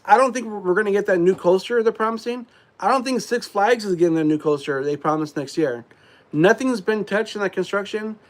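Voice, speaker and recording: Congested man rambling on a live stream. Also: sits high. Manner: rambling, frequent fillers and throat clearing. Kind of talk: casual live stream chat.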